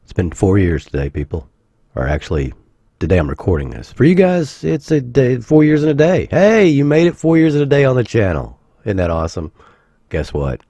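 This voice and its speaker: A man, husky from the flu, rambling casually as a gaming channel host with self-corrections and joking asides. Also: medium pitch, big pitch swings, brisk, warm tone, no room sound. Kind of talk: casual anniversary vlog talk.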